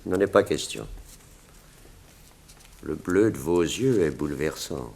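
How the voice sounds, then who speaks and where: An actor playing a cool, enigmatic male sculptor in a 1960s French radio drama, speaking in terse, measured lines. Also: extremely low pitch, lively intonation, vocal fry, a little mellow, exaggerated voice. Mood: coolly intent.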